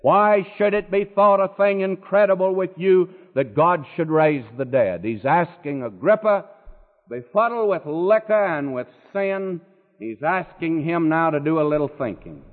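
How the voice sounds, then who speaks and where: A man's voice: high voice, a little slow. A man preaching a sermon dramatically, his voice rising when he quotes scripture, recorded long ago. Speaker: pastor. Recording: echoey hall, tinny old recording.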